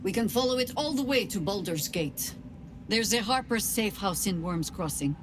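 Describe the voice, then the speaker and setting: A woman voicing a seasoned older adventurer in a video game, steadfast and resolute, speaking in a measured, earnest and grave manner. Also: mid-range voice, animated, noisy background, natural voice. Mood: warm resolve. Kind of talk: video game voice acting, dramatic dialogue.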